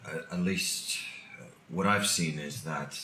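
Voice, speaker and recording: A man chats casually in a very deep voice. The room is echoey and there is clear background noise. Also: a little slow, pushed and bright. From Finland. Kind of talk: casual discussion.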